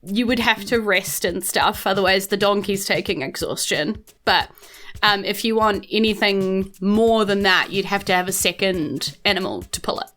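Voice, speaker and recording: A woman's voice: mid-range voice, moderate pace, fairly bright tone. A woman with a New Zealand accent running a tabletop game, improvising casual, matter-of-fact explanations as game master.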